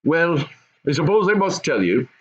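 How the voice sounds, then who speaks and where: A man's voice: a little high, sing-song, moderate pace, lo-fi sound, voice pushed up. A man voicing a gloating, bossy goblin father and husband, emphatic and knowing, in a storybook reading. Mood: commanding.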